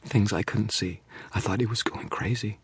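A man voicing a frightened young mother who pleads and confesses in a rush, then drops to a hush. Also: fairly low pitch, slightly rough, dry recording, pitched above the performer's own voice. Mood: fearful confession. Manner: breathless pleading, rushing then hushed.

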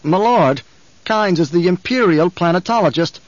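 Brief: a man voicing an edgy, drug-dulled captain who blurts out answers to his master, pitched up high. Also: expressive, dry recording. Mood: anxious. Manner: eager, hurried, deferential. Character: nervous male guard captain, drug-dulled, flustered. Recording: dull, dry studio recording.

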